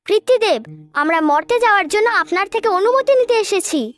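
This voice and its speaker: A woman's voice: very high-pitched, expressive, moderate pace. A woman voicing an earnest, dutiful young goddess in a mythological cartoon, speaking politely. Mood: earnest concern.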